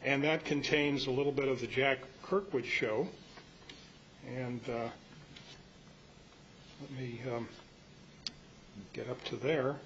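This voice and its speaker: An older man giving an informal, rambling technical talk at a club meeting, halting and full of anecdotes. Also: mid-range voice, fairly even intonation, fairly bright tone. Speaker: club speaker presenting on audio tape. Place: indoor club meeting, live audio recording. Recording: muffled, slightly noisy meeting-room recording.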